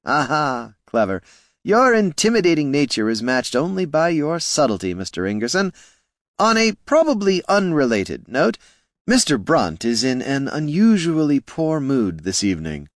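A sly little male gnome schemer with a high, lilting, oily voice, all mock-polite courtesy and veiled threat, as a man puts him on for a storybook. His pitch leaps about lightly, and the recording is close and dry. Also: huge pitch swings, normal speed, no room sound. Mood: smug.

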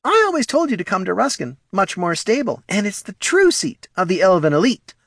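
Small snooty kobold courtier: very high, swooping pitch, airy and condescending audiobook character. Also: male voice, big pitch swings, fairly quick, gravelly, a bit bright, dry recording, clean studio sound, full character voice. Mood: smug. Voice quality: small, thin voice. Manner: airy, patronizing, affected. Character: small, snobbish, foppish male kobold courtier.

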